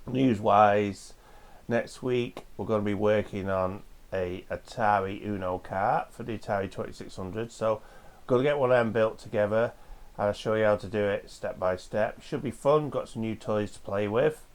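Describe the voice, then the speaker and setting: Hoarse man chatting through a repair. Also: on the low side, moderate pace. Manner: chatty, rambling explanations with frequent fillers. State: hoarse from a cold. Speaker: host of a retro computer repair channel. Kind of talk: casual repair walkthrough. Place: home workshop.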